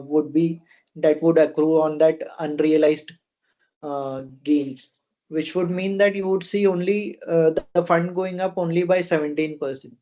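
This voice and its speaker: A man with an Indian accent giving unscripted answers as a company officer on a conference call, phrasing things haltingly. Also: fairly high pitch, dark tone. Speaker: fund company officer answering investor questions. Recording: dull, lo-fi conference call audio.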